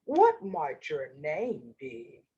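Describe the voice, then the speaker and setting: A woman voicing a sly, hungry male wolf in a storybook, with oily, falsely polite, drawn-out speech. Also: deep voice, huge pitch swings, warm tone, breathy edge, highs cut off, voice pushed down, exaggerated voice. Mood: sly, flattering.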